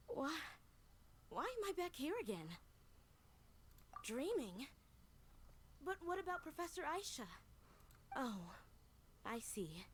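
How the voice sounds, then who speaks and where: An actress playing a wistful young woman in a video game, speaking softly and reflectively. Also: on the high side, a little hiss or hum. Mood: groggy, puzzled. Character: groggy, wistful woman recalling her childhood tutor. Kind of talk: video game voice acting, storytelling dialogue.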